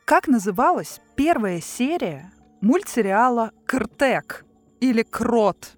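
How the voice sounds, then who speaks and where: A woman's voice: a little high, lively intonation, slow. A woman hosting a quiz in a casual, playful chatty style. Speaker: quiz host. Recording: music playing behind.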